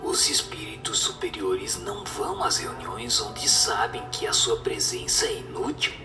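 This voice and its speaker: A man voicing a wise, solemn spirit who teaches gravely in a low, breathy, half-whispered voice, in a radio drama. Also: big pitch swings, a little slow, roomy sound, recorded from a distance, over music. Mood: solemn, stern. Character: wise superior spirit answering questions, solemn and instructive.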